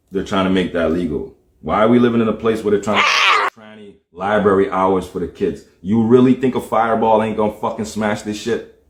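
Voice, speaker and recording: A man from Jamaica, delivering a heated, profane monologue on a livestream and firing off rhetorical questions. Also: fairly low pitch. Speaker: livestream host. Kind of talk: ranting monologue. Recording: roomy echo.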